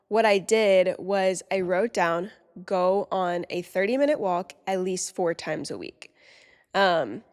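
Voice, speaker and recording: A woman's voice: medium pitch, big-room sound. A woman in her twenties hosting her own podcast in casual, upbeat chat, nasal and congested with a slightly sore throat. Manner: upbeat, chatty, many "like" fillers. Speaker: podcast host.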